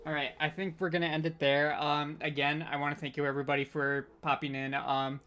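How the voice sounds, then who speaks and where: Hesitant man with a higher, clear voice. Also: level tone, pushed and bright. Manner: halting, hesitant, scattered delivery. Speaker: panel host. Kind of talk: informal online panel hosting.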